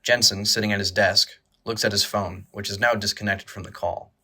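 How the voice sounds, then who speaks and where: A man's voice: on the low side, steady tone, a little fast, bright, forceful tone, husky. A man reading scripted dramatic narration for an audio drama.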